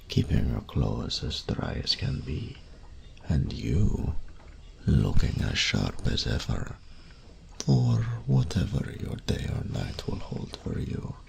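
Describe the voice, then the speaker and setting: A man playing an elderly, courteous master tailor in a fantasy audio roleplay, with a gravelly, breathy old voice and a formal, unhurried, deferential manner. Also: a bass voice, a little hiss or hum, exaggerated voice. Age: older. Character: elderly courteous male master tailor, wistful and proud shopkeeper.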